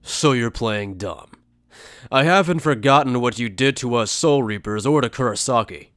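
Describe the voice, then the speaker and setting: A man playing an earnest, suspicious soldier in an anime fan dub, stern and confrontational, with gravel in his voice. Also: medium pitch, normal speed, a bit bright, dry recording, clean studio sound, close to an everyday voice. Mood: accusing.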